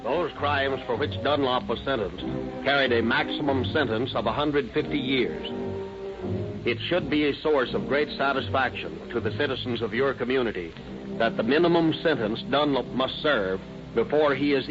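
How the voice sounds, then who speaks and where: A man as a grave, unforgiving police chief giving a formal, measured, oratorical address on 1930s radio. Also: mid-range voice, lightly acted. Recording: muffled old radio recording, faint noise.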